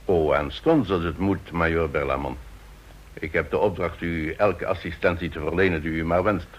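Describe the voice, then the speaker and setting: An actor playing an obliging, formal garrison commander in a Dutch radio drama, deferential and somewhat puzzled. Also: male voice, deep voice, steady tone, moderate pace. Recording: dull old radio recording.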